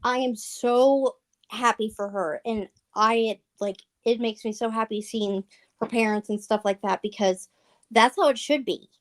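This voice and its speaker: Earnest, rambling woman with a high voice. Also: measured pace. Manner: rambling, earnest, full of fillers. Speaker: guest. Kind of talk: casual livestream chat.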